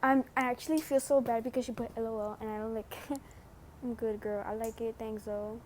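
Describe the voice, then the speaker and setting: A young woman giving casual, slangy, sweary and teasing commentary as a gaming vlogger, with unhurried delivery. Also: a little high, fairly even intonation, a little mellow, a little hiss or hum.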